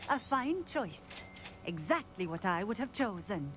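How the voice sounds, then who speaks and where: A woman's voice: mid-range voice, sing-song, unhurried, fairly bright tone, a little hiss or hum. An actress playing a courteous female shopkeeper in a video game, welcoming customers politely. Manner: polite, welcoming sales talk.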